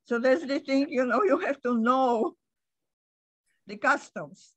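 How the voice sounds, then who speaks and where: Brief: older Polish woman, English not her first language, slightly high voice, halting recollection, dry close sound. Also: expressive, no echo, highs cut off. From Poland. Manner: halting, restarts often, light laughs. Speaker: interviewee. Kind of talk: recalling her past in conversation.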